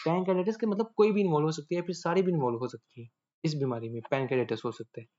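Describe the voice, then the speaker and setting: A man giving a casual medical lecture, often pausing to check that listeners follow. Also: on the high side, warm tone. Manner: chatty, checks understanding often. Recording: dull lo-fi audio.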